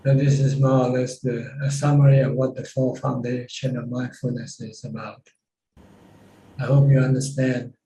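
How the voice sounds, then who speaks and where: Even-toned Thai-accented man, roomy and distant. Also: mid-range voice. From Thailand. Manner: halting, with pauses and repeated phrases. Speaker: Buddhist monk teacher. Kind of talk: informal Dhamma question-and-answer talk. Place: video call from a roomy space. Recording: roomy distant mic with background noise.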